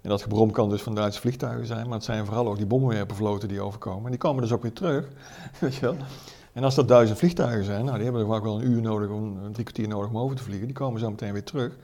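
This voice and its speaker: A man speaks as a history expert in a low, creaky voice with a mellow tone. He explains in a conversational way, repeating key words for emphasis and adding informal asides. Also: fairly even intonation, rapid-fire, few pauses. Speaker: military historian, expert interviewee.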